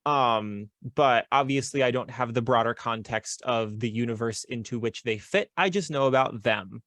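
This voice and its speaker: A man's voice: medium pitch, moderate pace, no echo. Chatty man hosting a podcast, dry sound. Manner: relaxed, chatty, lots of filler ums. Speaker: podcast host. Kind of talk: casual podcast chat.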